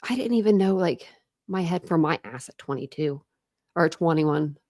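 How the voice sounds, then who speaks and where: A young woman, chatting casually on a livestream and rambling with lots of fillers, her voice going hoarse. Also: deep voice, expressive, moderate pace, a little mellow, dry recording, studio quality. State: losing her voice, throat clearing. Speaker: true crime streamer.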